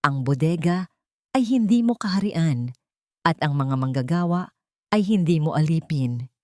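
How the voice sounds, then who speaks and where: A woman's voice: low voice, expressive, a little slow, warm tone, no echo, studio quality. A Filipino woman voicing a powerful billionaire chairwoman in a story, firm and commanding. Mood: cold, firm.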